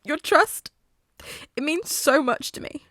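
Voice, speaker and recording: A woman voicing an earnest, excitable young male hero who monologues effusively and dramatically in a story reading. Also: high voice, slow pace, no room sound, clean studio sound, pitched above the performer's own voice, heavily acted. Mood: tearful, gushing.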